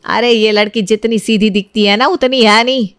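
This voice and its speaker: A woman playing a high-pitched, bitter, bossy mother-in-law. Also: steady tone, normal speed, fairly bright tone, clear, resonant tone, no echo, studio quality, natural voice. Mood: harsh scolding. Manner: scolding, bossy, accusing. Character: bitter, vengeful, bullying older Indian mother-in-law. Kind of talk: acted dialogue in Hindi moral story cartoon.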